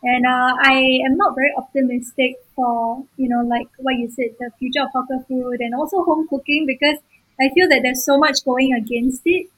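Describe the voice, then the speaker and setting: A woman's voice: high-pitched, steady tone, moderate pace, poor audio. A woman hosting, asking thoughtful, slightly hesitant questions. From Singapore. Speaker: host. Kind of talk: interview questions.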